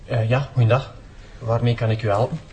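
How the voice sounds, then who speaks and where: A man's voice: medium pitch, steady tone, moderate pace, highs cut off, lightly acted. A man playing a wary, nervous town hall clerk in an audio drama. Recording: loud background noise.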